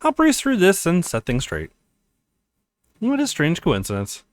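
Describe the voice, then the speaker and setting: Animated man reading a story game aloud. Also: on the high side, a little slow, dry recording. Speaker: let's play host reading a visual novel aloud. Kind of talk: dramatic reading of game dialogue and narration.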